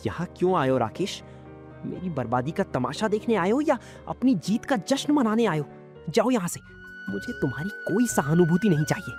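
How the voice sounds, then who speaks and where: A woman voicing a proud male village shopkeeper with intense feeling, in an animated story. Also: on the low side, normal speed. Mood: bitter, angry. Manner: emotional, intense. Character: proud, jealous village shopkeeper man, bitter then remorseful. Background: background music.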